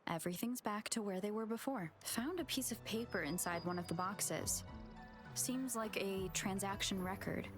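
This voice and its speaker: An actress playing a wary, observant young adventurer in a video game, musing to herself in a measured, thoughtful way. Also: female voice, mid-range voice, close to an everyday voice. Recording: music behind the voice.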